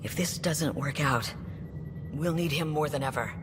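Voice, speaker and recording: Actress as low-voiced female starship captain. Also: moderate pace, big-room sound, over music, natural voice. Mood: resigned, firm. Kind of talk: cinematic video game acting.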